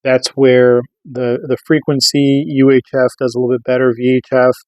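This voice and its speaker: American man with an even, dry-recorded delivery. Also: mid-range voice, moderate pace, no echo. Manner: rambling, informal explaining. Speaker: radio reviewer. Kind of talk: casual product review monologue.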